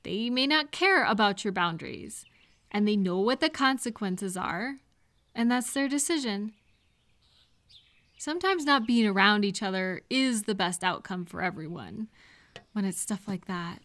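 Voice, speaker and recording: Woman acting as a gentle, slow-talking supportive friend. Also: mid-range voice, expressive. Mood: calm, reassuring. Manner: gentle, reassuring, unhurried. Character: supportive, gentle female friend gardening with the listener. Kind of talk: audio roleplay addressed to the listener, casual and intimate.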